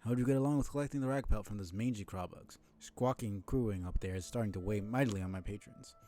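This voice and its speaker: Man voicing a folksy, gruff game tavern keeper. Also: lowish voice, fast talker, mellow, lightly acted. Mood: welcoming, weary. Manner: folksy, old-fashioned phrasing. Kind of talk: voicing video game dialogue aloud.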